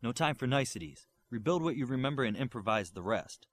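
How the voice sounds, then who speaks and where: A man's voice: mid-range voice, animated, a little fast, close to an everyday voice. A man voicing a curt, commanding male superhero leader in an audiobook.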